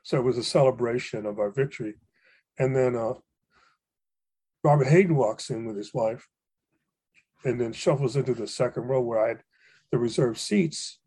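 Older man with a dark, husky voice. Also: mid-range voice, moderate pace, pauses often. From Hawaii. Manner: rambling, many fillers and restarts, warm anecdotes. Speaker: poet and guest. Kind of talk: reflective storytelling conversation.

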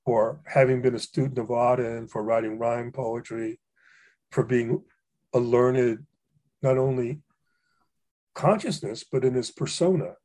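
An older man from Hawaii telling stories about fellow poets in a relaxed conversation, with frequent fillers and restarts. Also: mid-range voice, measured pace, long pauses. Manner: rambling, many fillers and restarts, warm anecdotes. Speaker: poet and guest. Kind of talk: reflective storytelling conversation.

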